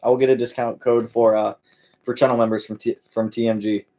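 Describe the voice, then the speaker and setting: A man's voice: mid-range voice, flat delivery, a little fast, warm tone. A man hosting a casual live-stream Q&A for his car channel, answering viewers in a laid-back way with lots of fillers and friendly call-outs.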